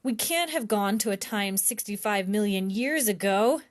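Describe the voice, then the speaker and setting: A woman's voice: medium pitch, animated, moderate pace, lightly acted. A woman voicing a cautious, studious young boy in a children's storybook, doubtful and exasperated in manner. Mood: skeptical. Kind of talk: children's storybook character reading.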